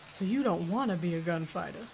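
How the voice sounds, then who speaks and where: A woman's voice: on the low side, lively intonation, fairly quick, gravelly, husky, full character voice. A woman playing a tough woman sheriff on the run, with a blunt frontier drawl and barked orders. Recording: slightly dull, faintly noisy recording.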